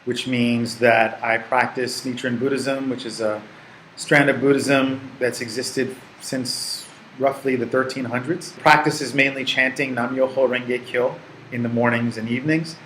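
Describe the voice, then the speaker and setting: A man in his forties, from the United States, answering interview questions in a relaxed, reflective way, with fillers and restarts. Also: medium pitch, measured pace, reverberant, a little hiss or hum, poor audio. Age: 40s. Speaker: English teaching assistant.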